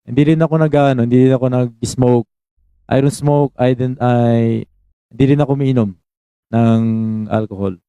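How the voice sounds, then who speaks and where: A man's voice: medium pitch, steady tone, normal speed, pauses often, warm tone, clear, resonant tone, dry recording. A man in his twenties with a Filipino accent, hosting a casual live stream, switching between Tagalog and English and calling out viewers by name. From Philippines. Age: 20s.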